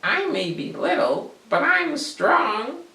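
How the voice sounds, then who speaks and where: A storyteller voicing a tiny, blustering goblin with a squeaky voice and an easily rattled temper, for a children's storybook reading. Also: very animated, takes it slowly, creaky, pushed and bright, exaggerated voice. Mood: boastful, scoffing. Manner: stammering when scared. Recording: echoey room, distant mic.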